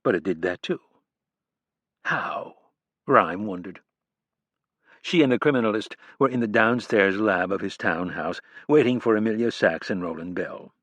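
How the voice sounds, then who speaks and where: A man narrating a crime thriller audiobook in a measured, even reading. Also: fairly low pitch, no echo. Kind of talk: scripted narration.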